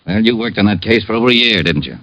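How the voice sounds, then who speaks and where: An actor playing an earnest, harried police lieutenant in an old radio drama, terse and serious, with an American accent. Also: male voice, fairly low pitch, animated, quick pace, pushed and bright, dry recording. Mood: urgent, earnest. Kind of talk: 1940s-50s radio detective drama acting. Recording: dry, dull old radio recording.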